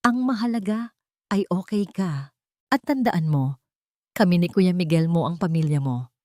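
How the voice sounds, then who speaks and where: Gentle caring woman guardian as a female narrator acts her, low pitch, soft-spoken, reassuring, dry studio-like sound. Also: moderate pace, no room sound, lightly acted.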